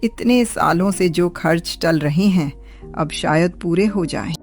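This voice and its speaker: Casting: woman as older village mother-in-law, lowish voice, very unhurried, sarcastic and demanding, cartoon drama with music underneath. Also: darkish tone. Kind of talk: Hindi cartoon moral story acting.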